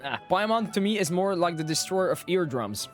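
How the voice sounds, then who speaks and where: A man's voice: high voice, animated, normal speed, faint music behind. A man giving casual, joking live commentary as a game streamer, often repeating his phrases.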